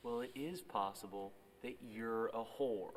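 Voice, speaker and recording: A man's voice: mid-range voice, unhurried, a little hiss or hum, close to an everyday voice. A man acting a blunt, defensive small-town deadbeat in a film drama. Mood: defensive, insulting. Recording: echoey hall, off-mic.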